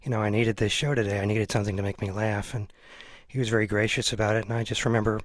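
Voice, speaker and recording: A man's voice: on the low side, little pitch movement, fast, no room sound. A man hosting an interview show, chatting casually and earnestly. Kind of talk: casual conversation.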